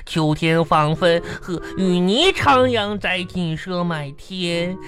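A man's voice: high-pitched, slow pace, fairly bright tone. A man playing a goofy, naive, chatty young schoolboy in a radio comedy sketch. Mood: grandly reciting. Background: music.

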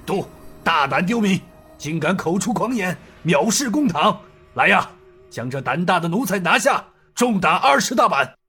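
A stern county magistrate barks orders and sneers from the bench, as a male storyteller voices him. He sits a touch higher than the narrator, and his pitch swings with force. Quiet music runs underneath. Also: big pitch swings, moderate pace, heavily acted. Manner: commanding, sneering.

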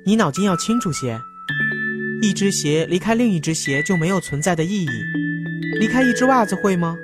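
A woman's voice: lowish voice, expressive, a little fast, lightly acted. A woman playing a blunt, caring young sock companion in a fairy-tale radio drama, chiding and exclaiming expressively. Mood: exasperated. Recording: clear music behind voice.

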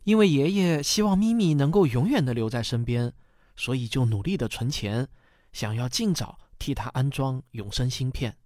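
A man's voice: medium pitch, lively intonation, normal speed, no room sound, clean studio sound, close to an everyday voice. A man playing a patient, kindly father who tells stories in a radio drama. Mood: storytelling. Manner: warm storytelling, patient explaining. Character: patient, kindly father explaining family history to his daughter.

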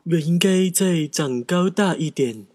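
Woman putting on a slow, low lovesick crocodile. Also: animated. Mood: determined, wistful. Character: lovesick crocodile, hopeful, earnest, a bit clumsy. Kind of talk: children's storybook audio drama. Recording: music under the voice. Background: guitar music.

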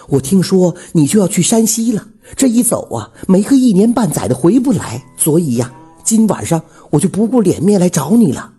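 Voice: medium pitch, warm tone, slightly rough, voice pushed up, exaggerated voice. A storyteller voicing a coquettish middle-aged village widow, sweetly coaxing and insistent. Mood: earnest.

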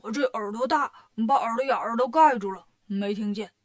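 A woman voicing a simple, good-natured, lazy male pig disciple in a blunt, drawn-out manner for a story. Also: on the high side, lightly acted. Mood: nonchalant.

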